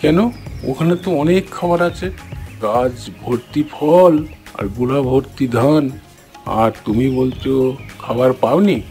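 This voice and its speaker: A man's voice: medium pitch, measured pace, long pauses, dark tone, soft background music, natural voice. A man voicing a sensible, kindly animal who leads his friends in a fairy-tale cartoon. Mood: questioning.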